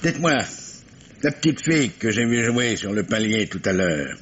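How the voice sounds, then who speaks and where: An actor playing a businesslike, drily insistent police commissioner who questions with small stammers and blunt turns, in an old radio mystery. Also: male voice, on the low side, sing-song, moderate pace, a bit bright. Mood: insistent, polite. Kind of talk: 1950s French radio mystery acting. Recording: dull, noisy vintage radio recording.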